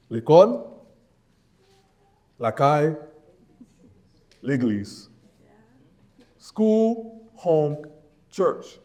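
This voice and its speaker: A man from Haiti, a saxophonist, introducing his piece on stage in a heartfelt, deliberate way. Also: a little high, darkish tone. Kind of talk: live spoken introduction on stage.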